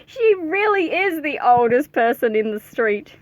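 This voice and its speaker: A woman with a fairly high, very lively voice reads a storybook to children. Her delivery is playful and expressive, slipping between narration and chatty remarks to the listeners. The sound is dry and close, with little room in it. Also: unhurried, dry recording. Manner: animated, playful, addressing young listeners directly. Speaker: read-aloud host reading a picture book to children.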